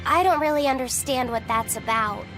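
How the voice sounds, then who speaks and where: A woman playing a gentle, earnest young boy in a video game, speaking sincerely and a little hesitantly. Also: high-pitched, normal speed, loud music behind the voice, lightly acted. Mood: thoughtful, admiring. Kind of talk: English video game voice acting.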